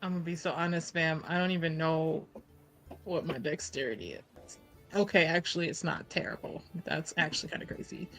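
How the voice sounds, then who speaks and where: A woman's voice: low voice, fairly quick, a bit bright, faint music behind. A woman playing a tabletop roleplaying game, talking casually as she improvises her character's actions.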